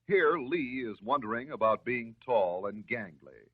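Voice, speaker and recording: An American man delivering scripted narration in the style of a 1950s educational film, measured, instructive and reassuring. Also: medium pitch, expressive, husky. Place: voice-over recorded for film. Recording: muffled, narrow-band 1950s film soundtrack.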